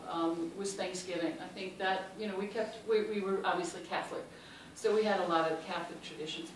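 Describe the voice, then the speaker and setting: A woman with a Chicago accent tells personal stories to a panel audience, pausing often on um and uh. Her voice sits a little low. It comes through a very echoey hall from a distant mic, with clear background noise. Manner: warm and anecdotal, many ums and uhs. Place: large hall.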